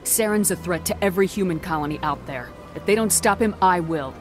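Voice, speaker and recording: A woman's voice: fairly low pitch, a little fast, faint music behind, natural voice. A woman playing a resolute, supportive military commander in a video game, controlled and earnest. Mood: determined.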